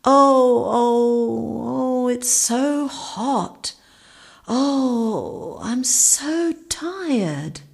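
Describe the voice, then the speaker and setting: A woman's voice: a little high, big pitch swings, takes it slowly, voice pushed up. A woman voicing a weary, overworked cave woman who moans with tiredness. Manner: tired, moaning.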